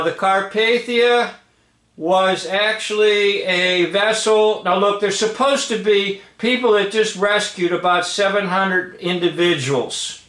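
Slow, high-pitched man in a roomy space. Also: fairly bright tone. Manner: emphatic, repeats phrases, checks in with "okay?". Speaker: commentator. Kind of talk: off-the-cuff commentary over old film footage. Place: indoor room, filming a screen. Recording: roomy, echoing home recording.

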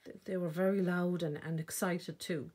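An Irish woman reading a children's picture book aloud expressively, with dramatic pauses and emphasis. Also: on the low side, steady tone, darkish tone, slightly rough. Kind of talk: storytime read-aloud narration.

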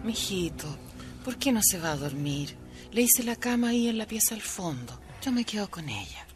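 A woman playing a caring, worried Chilean aunt in a radio drama, homely and expressive. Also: mid-range voice, moderate pace, music in the background, natural voice. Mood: uneasy, concerned.

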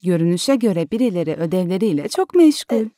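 A woman playing a gentle, patient mother who instructs calmly, in an animated children's cartoon. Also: lowish voice, a little fast, no room sound, clean studio sound. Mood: curious, gentle.

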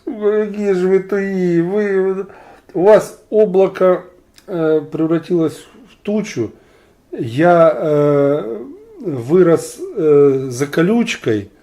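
A man's voice: sits high, slow, mellow. A man from Ukraine arguing on a video chat stream, blunt and mocking with sarcastic asides. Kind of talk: argumentative online video chat.

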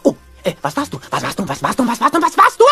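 A man voicing a hyperactive, scatterbrained, childlike male squirrel in a German animated film dub, frantic and easily distracted. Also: very high-pitched, quick pace, creaky, breathy edge, exaggerated voice. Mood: scattered, excited. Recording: music under the voice.

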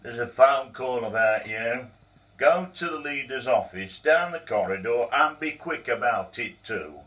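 A man voicing a male office clerk who delivers news in a drawn-out, pointed way. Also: on the low side, fairly even intonation, bright, forceful tone, distant mic, heavily acted. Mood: smug.

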